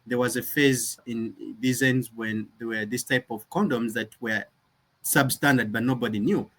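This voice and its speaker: A man's voice: medium pitch, normal speed, fairly bright tone. A young man from Uganda, a nonprofit founder talking earnestly and reflectively in an interview, often restarting his sentences. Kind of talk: conversational interview.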